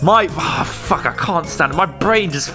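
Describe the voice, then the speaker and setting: A man's voice: on the high side, expressive, unhurried, over music. A man giving casual, sweary video-essay commentary in an emphatic, conversational, exasperated way.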